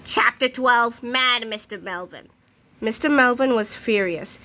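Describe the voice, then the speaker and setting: A woman storyteller with a high, bright voice reads a children's book aloud. Her delivery is animated and aimed at young listeners. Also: unhurried.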